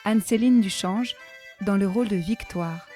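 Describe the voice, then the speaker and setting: Mellow mid-pitched woman reading credits. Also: normal speed. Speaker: announcer reading cast credits. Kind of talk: scripted read-out. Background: soft music.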